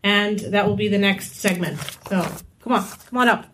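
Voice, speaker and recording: A woman's voice: medium pitch, moderate pace, slight background noise, poor audio. Encouraging woman hosting a poetry night. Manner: warm, encouraging, rambling. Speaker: event host. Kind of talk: introducing performers at a poetry night.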